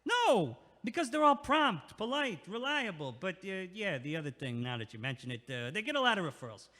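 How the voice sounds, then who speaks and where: An actor in an audio play voicing a pushy, meddling New York night-shift neighbor, blunt and sarcastic. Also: male voice, high voice, sing-song, a little fast, bright, forceful tone, heavily acted. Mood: smug, needling. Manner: blunt, sarcastic, street-talking. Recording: echoey, long hallway-like reverb.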